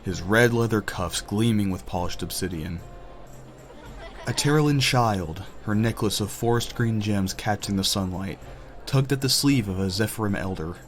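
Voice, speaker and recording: A man narrating a scripted fantasy audio drama as a theatrical, deliberate storyteller. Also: fairly low pitch, faint music behind.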